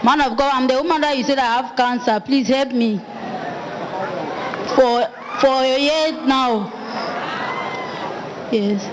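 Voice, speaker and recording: A woman from Cameroon pleads before a congregation. Her voice echoes in a large hall with clear background noise. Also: sits high, slow pace, long pauses, a crowd in the background. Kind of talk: public plea. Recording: reverberant hall, noisy.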